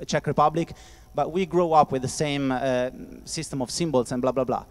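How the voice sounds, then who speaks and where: A man from Italy hosting a casual festival discussion, playful and quick to laugh, talking into a handheld mic with many fillers. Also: mid-range voice, steady tone. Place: festival hall with audience. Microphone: handheld microphone. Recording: reverberant hall.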